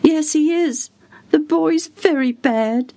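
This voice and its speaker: A woman's voice: high voice, slow pace, mellow, dry recording, pitched above the performer's own voice. A woman voicing a faithful, grieving servant woman in a storybook reading, sobbing and lamenting in a folksy way. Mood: anxious, sorrowful. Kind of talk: dramatised Bible storybook reading.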